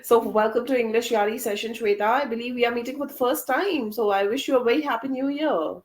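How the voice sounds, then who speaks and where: A woman's voice: fairly high pitch, quick pace. A woman, leading an English practice session as mentor over a video call, often repeating words as she prompts. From Delhi, India. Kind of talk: hosting a conversation practice session.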